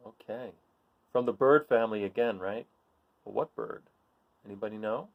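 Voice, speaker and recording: A man's voice: on the low side, normal speed, gravelly, lo-fi sound. A man hosting a children's read-aloud, reading a picture book and chatting in an encouraging way, often putting questions to his listeners.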